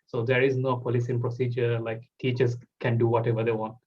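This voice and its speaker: A young man speaks at an ordinary mid-range pitch. He talks in loose, run-on phrases full of "like" fillers, in the manner of a relaxed interview host. The recording sounds a little dull and lo-fi. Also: a little fast. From Bangladesh. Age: 20s. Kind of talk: casual interview talk.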